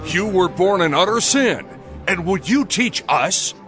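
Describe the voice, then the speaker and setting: High-voiced indignant Pharisee. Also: male voice, lively intonation, a little slow, a bit bright, soft background music. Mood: condemning. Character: indignant, condemning male Pharisee. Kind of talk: dramatized audio Bible acting.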